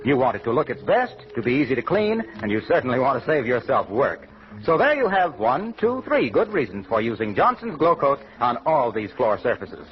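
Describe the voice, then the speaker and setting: A man's voice: medium pitch, sing-song, a little fast. An American man reading a 1940s radio advertisement as the announcer, in a polished, folksy selling manner. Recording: muffled old radio broadcast.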